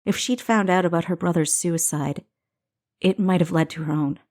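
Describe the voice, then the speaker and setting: A woman's voice: on the low side, moderate pace, a little mellow, lightly acted. A woman voicing a compassionate, troubled female doctor in an audiobook, sorrowful and earnest. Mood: sad, concerned. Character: anxious, compassionate woman doctor mourning a tragedy.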